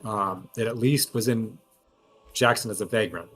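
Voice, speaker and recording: A man's voice: on the low side, moderate pace, a bit bright, husky, faint music behind. A man talking casually and analytically as he works through a mystery at length as a tabletop game player. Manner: analytical, reasons aloud at length.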